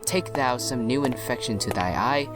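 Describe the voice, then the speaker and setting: Man playing a middle-pitched sensible young friend. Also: normal speed, over music, close to an everyday voice. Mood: advising, insistent. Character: sensible, teasing young nobleman, loyal friend. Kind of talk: Shakespearean audio play acting.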